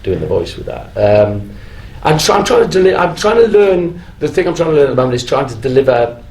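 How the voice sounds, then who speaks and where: A middle-aged man chatting casually as a panel guest, halting and often restarting his sentences in an informal way. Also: medium pitch, sing-song, darkish tone. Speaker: guest actor on a fan convention panel. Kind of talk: casual live panel chat. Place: convention stage in a hall. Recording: echoey live stage recording, faint noise.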